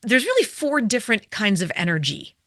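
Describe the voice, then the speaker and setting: A woman and public speaking expert, explaining with animated vocal demonstrations and questions in conversation. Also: on the high side, a little fast, no echo, clean studio sound.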